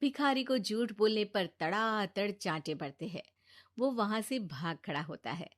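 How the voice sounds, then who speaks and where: A woman's voice: medium pitch, animated, a little slow. A woman reading scripted narration for a cartoon bedtime story.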